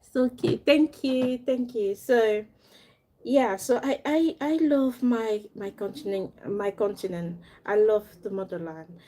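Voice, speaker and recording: A woman's voice: fairly high pitch, slow pace, dark tone. Chatty young woman from Africa, live-streaming. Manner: chatty, rambling, greets and thanks viewers as she talks. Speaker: doll maker and fashion designer. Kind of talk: casual live-stream chat with viewers.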